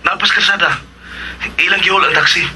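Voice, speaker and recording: A woman's voice: fairly low pitch, brisk, bright, forceful tone, slight background noise, low-quality recording. A woman in a radio drama playing a worried, apologetic friend who is seeking help. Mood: urgent, reporting.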